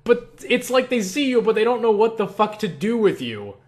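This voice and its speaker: Young adult male, slightly high voice, casual Q&A rambler, opinionated and sweary, thinks aloud. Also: very animated, normal speed. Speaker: online video creator answering viewer questions. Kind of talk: casual rambling Q&A chat about video games.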